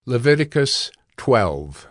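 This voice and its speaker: A man announcing chapter headings in a slow, deliberate, formal way. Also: mid-range voice, big pitch swings, no room sound, studio quality.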